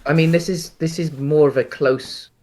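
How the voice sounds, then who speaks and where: A man's voice: a little high, fairly even intonation, moderate pace, darkish tone. A man co-hosting a podcast, talking casually about films and explaining in a hedging, roundabout way. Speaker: podcast co-host. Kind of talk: casual film discussion.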